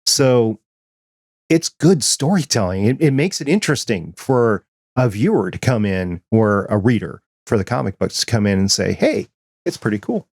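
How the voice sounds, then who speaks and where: A man's voice: on the low side, expressive, moderate pace, darkish tone, breathy edge, no room sound, studio quality. A man with an American accent talking casually as a podcast co-host, rambling and acting out imagined reactions as he makes his points. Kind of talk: casual discussion and commentary.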